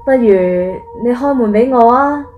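A woman's voice: a little high, narrow, tinny audio, soft background music, lightly acted. A woman playing an eerie, taunting ghost neighbour in a horror radio drama, with a coaxing, menacing manner. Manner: slow, coaxing, menacing with creepy laughter.

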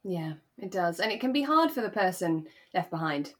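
A woman with a slightly low voice chats as a podcast co-host. Her delivery is relaxed, conversational and agreeable. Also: moderate pace. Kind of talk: relaxed, casual chat recapping a radio drama.

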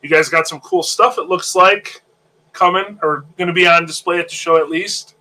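A man's bright, forward voice sounds stuffed-up and nasal as he hosts a casual live stream. He is easygoing and often doubles his words while he gathers his thoughts. His pitch sits a bit higher than most men's. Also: steady tone, normal speed, low-quality recording. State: nasal, getting over an illness. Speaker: host of a live reptile-hobby show.

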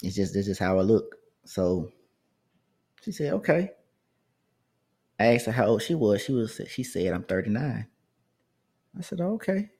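A man's voice: lowish voice, animated, moderate pace, dark tone, husky. A man in his thirties telling a personal story in a casual, rambling way, with asides to his listeners. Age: 30s. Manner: conversational, rambling with restarts and asides.

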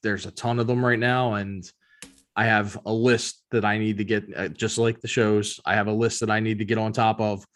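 A man's voice: fairly low pitch, little pitch movement, normal speed. A man hosting a casual pop culture news chat, talking informally like a fan. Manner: informal, conversational fan talk. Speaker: podcast host.

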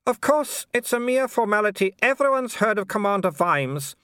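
Male narrator playing an icy, falsely sweet noblewoman; high, prim and clipped, in a dry booth. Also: sing-song, moderate pace, a bit bright, clear, resonant tone, dry recording. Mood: haughty. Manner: prim, clipped and icily polite. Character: haughty, falsely sweet aristocratic baroness, middle-aged woman.

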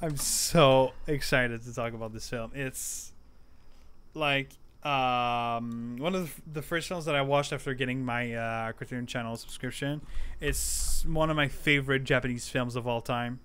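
A young man co-hosting a film podcast, talking casually with bursts of excitement and some swearing. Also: medium pitch, moderate pace, smooth. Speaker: podcast co-host. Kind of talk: casual chat.

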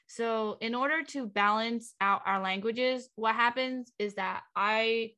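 A woman's voice: a little high, a little slow, bright, forceful tone. A young woman from Vietnam, a native Vietnamese speaker, co-hosting a casual podcast and talking with many fillers and restarts. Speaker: podcast co-host.

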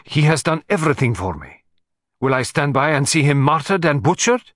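A man's voice: fairly high pitch, sing-song, normal speed, fairly bright tone, voice pushed up. A man voicing a flamboyant, imperious Russian countess in a storybook, with theatrical, commanding declarations. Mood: passionate, imperious.

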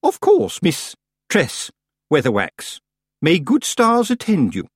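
Prim, pompous lady witch played by a male narrator: very high, swooping, over-polite. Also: huge pitch swings, measured pace, no room sound, clean studio sound, full character voice. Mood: falsely gracious. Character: haughty, primly formal middle-aged female witch.

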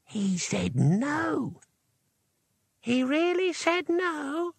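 A man voicing an ancient, disembodied talking spell, pompous yet muddled, with a rustling, papery voice and drawn-out delivery in a storybook reading. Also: very high-pitched, big pitch swings, vocal fry, no echo, pitched above the performer's own voice, heavily acted. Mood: baffled.

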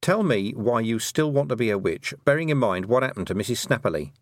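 A shrewd witch woman from a fantasy audiobook, played by a male narrator. She is brisk and dry-witted, firing off knowing questions and remarks with a lively lilt. The recording is close and dry. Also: mid-range voice, no room sound, voice pushed up, natural voice. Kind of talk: audiobook character dialogue.

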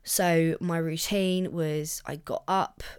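A young woman chats through her solo podcast with a slightly low, husky voice that is croaky from illness. Under the rasp her tone stays resonant and smooth, and her delivery is loose, upbeat and full of casual swearing. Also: steady tone, slow, a little mellow. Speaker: podcast host.

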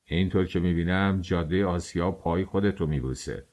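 A man's voice: low voice, a little mellow, lightly acted. A man voicing a middle-aged male master baker who gives orders to his worker in a commanding, teasing way. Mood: irritated.

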